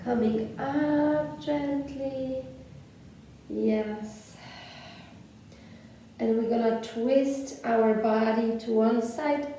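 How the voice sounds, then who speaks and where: A woman with a Polish accent guiding a slow yoga class in soothing, gently paced cues with long pauses. She speaks English as a second language. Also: fairly high pitch, a little hiss or hum. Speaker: yoga instructor. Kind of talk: guided yoga class instruction. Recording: echoey room from far off.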